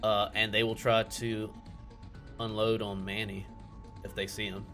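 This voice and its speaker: A man with a fairly low voice talks as a player at a tabletop game. He is casual and hesitant, working things out aloud, with faint music underneath. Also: flat delivery, measured pace, a bit bright. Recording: music playing faintly behind.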